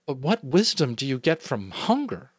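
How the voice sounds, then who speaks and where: A man's voice: mid-range voice, very animated, moderate pace, breathy edge, no echo, voice pushed up. A man voicing a lonely, troubled young boy who asks earnest questions.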